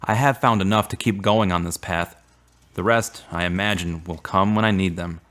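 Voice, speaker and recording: A man playing an earnest, devout young man in a radio drama, with measured, formal, preachy phrasing. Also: low voice, fairly even intonation, a bit bright. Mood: solemn, assured.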